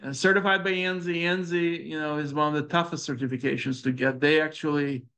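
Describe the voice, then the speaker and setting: A middle-aged man with a Ukrainian accent giving a startup presentation, halting and full of fillers and restarts. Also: on the high side, steady tone, sharp tone, clear, resonant tone. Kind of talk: conference presentation with audience questions.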